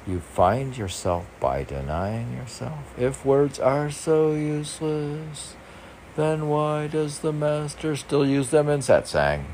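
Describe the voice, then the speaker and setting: A man's voice: fairly high pitch, expressive, slow pace, darkish tone. A man reading his own short poems aloud, rambling wryly and talking back to himself in casual commentary. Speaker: poet reading own work. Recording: noticeable background noise.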